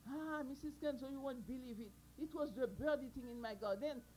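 A woman voicing an excitable, greedy farmer man who shouts exclamations in a folk tale. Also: high voice, a little fast, slight background noise, close to an everyday voice. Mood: pleading. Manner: loud exclamations, threatening.

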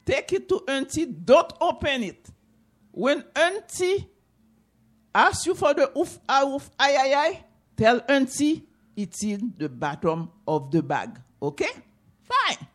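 A woman's voice: high voice, takes it slowly, voice pushed up, exaggerated voice. A woman doing a wise, kindly old lady. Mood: reassuring. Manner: calm and reassuring.

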